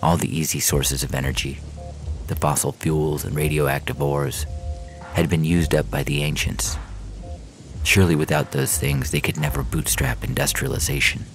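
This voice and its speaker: A man reading a scripted science fiction story in the first person, in a measured, literary storytelling manner. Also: rumbling low, creaky, poor audio. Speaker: fiction podcast narrator. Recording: music bed under the reading. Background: music.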